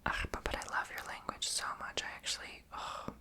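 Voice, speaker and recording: A woman whispering in an ASMR video, chatty and rambling, who says she is tongue-tied and makes her r in her throat. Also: a little fast. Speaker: ASMR creator.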